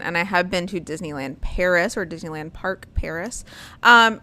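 A woman's voice: medium pitch, sharp tone. A woman, hosting a casual chat and rambling with lots of ums and likes.

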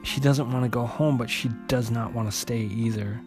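A man narrating an unscripted recap of a story as a host, in a halting way, often repeating and restarting his phrases. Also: medium pitch, fairly even intonation, over music. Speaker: host retelling a comic book story.

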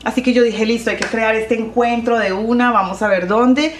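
A middle-aged woman on a radio show, explaining things steadily with pauses and fillers. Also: fairly high pitch, reverberant. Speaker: radio show host, interview guest. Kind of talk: conversational radio interview.

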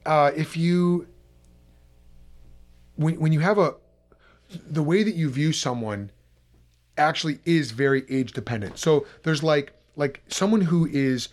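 Male podcast host, 30s, slightly high voice, casual and playfully needling conversational delivery. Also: measured pace, long pauses, darkish tone. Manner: teasing, bantering. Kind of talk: casual chat.

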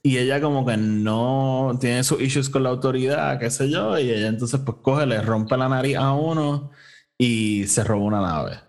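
A man's voice: mid-range voice, normal speed, darkish tone. A man with a Puerto Rican accent hosting a casual podcast review, recounting a story conversationally and dropping English phrases into his Spanish. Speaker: podcast host reviewing an audio drama.

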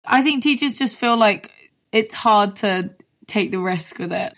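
A young woman with a husky voice, a singer-songwriter from London, chatting casually as a guest and filling her talk with "like". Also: mid-range voice, unhurried, a bit bright. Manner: chatty, peppered with "like". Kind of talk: casual interview chat.

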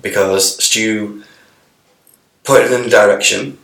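A man hosting a podcast, croaky from a sore throat, jokey and enthusiastic with his guest. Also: lowish voice, steady tone, slow, a bit bright. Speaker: podcast host. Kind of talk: casual podcast chat. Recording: roomy echo.

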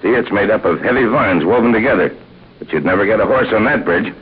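An actor playing a steady, sensible middle-aged uncle leading young adventurers in an old radio serial, calm and measured. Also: male voice, lowish voice, breathy edge. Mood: measured, observant. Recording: tinny, faintly noisy old radio recording.

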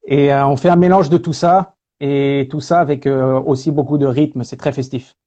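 Relaxed French man, mellow voice. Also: mid-range voice, normal speed, dull audio. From France. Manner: relaxed, lots of hesitation fillers. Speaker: guest, speaker and singer. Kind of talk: casual interview talk.

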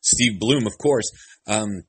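Husky man interviewer, rambling questions. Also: lowish voice, slow pace. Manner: rambling, filler-heavy questions. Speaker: entertainment interviewer. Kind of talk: press interview.

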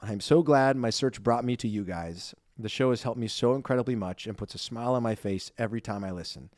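A young man co-hosting a podcast, reading listener reviews in a lively, self-deprecating chat and laughing easily. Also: medium pitch, fairly quick, no room sound, studio quality. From Ireland. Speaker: podcast co-host.

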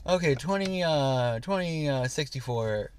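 A man's voice: on the high side, lively intonation, unhurried, slight background noise. A young man co-hosting a podcast, chatting casually from a parked car and rambling with lots of fillers. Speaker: podcast co-host. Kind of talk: casual chat.

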